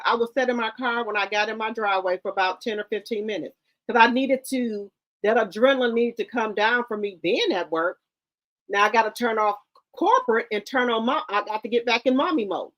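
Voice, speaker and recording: A woman's voice: a little high, fairly bright tone, highs cut off. A middle-aged woman hosting casual commentary, blunt and lecturing, mixing slang into her talk. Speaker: commentary host.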